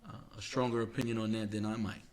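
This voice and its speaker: A man co-hosts a casual podcast conversation, speaking in a halting, reflective way and stretching words for emphasis. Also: lowish voice, steady tone, darkish tone. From Queens, New York, United States. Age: 40s. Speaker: podcast co-host.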